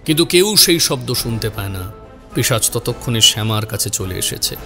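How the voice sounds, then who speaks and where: Male narrator, mid-range pitch, dramatic horror storytelling over a loud music bed. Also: animated, moderate pace.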